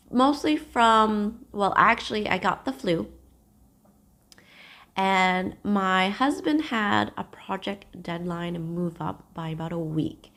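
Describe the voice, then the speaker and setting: Slow, gravelly woman with a hoarse voice. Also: medium pitch. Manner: gentle and unhurried, with thoughtful pauses. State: hoarse, losing her voice from a cold. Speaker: knitting podcast host. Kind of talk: casual chat to camera. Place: at home, seated.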